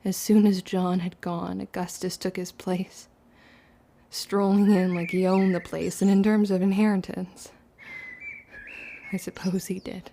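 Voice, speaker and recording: A woman's voice: on the low side, level tone, a little slow, gravelly, dark tone, a little hiss or hum. A woman playing a guarded, wounded young witch girl who tells her story in formal period speech in an audio drama. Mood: bitter. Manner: archaic, formal period diction. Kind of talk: confessional first-person audio drama narration and dialogue.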